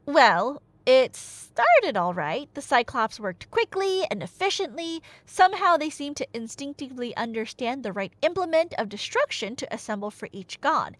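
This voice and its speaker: A woman's voice: high-pitched, very animated, moderate pace, hardly any pauses, no room sound. A woman telling a myth in a casual, chatty but dramatic way, with wry asides, like an ASMR roleplay storyteller. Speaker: storyteller playing a chatty girlfriend.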